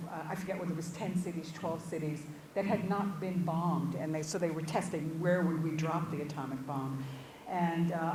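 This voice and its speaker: A woman guest speaker thinking aloud in an informal academic discussion, halting and hedging as she repeats words. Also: fairly low pitch, mellow. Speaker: visiting guest speaker answering audience questions. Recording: echoey room, distant mic, clear background noise.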